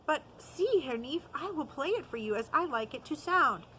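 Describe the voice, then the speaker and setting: A woman's voice: high voice, lively intonation, normal speed, bright, forceful tone, a little hiss or hum, pitched above the performer's own voice, low-quality recording. A woman reading the part of an eager, earnest young boy composer in a storybook.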